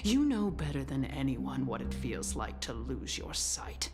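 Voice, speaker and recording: An actress playing a cruel, taunting female assassin in a video game, with a theatrical, drawn-out, sneering delivery. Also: a bass voice, sing-song, heavily acted. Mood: cruel mockery. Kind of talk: dramatic video game voice acting. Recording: loud music under the voice. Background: game music.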